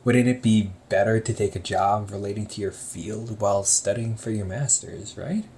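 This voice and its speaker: A man's voice: fairly low pitch, moderate pace, a little mellow, a little hiss or hum, lightly acted. A man acting out a chatty, teasing young older brother who runs a hobby shop, talking one-sided to the listener in an audio roleplay and laughing often. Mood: casual.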